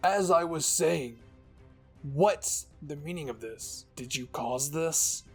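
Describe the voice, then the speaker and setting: A man's voice: a little high, takes it slowly, slightly rough, soft background music, heavily acted. A man voicing a stern, intimidating military commander in a fan-fiction reading, demanding and menacing. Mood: demanding, angry. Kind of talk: dramatic audiobook fan-fiction acting.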